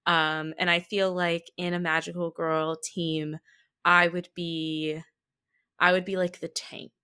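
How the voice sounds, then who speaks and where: A woman guesting on a podcast, chatting casually in a playful, expressive way. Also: fairly low pitch, slow, clear, resonant tone. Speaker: podcast guest, tabletop roleplay performer. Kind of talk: casual chat.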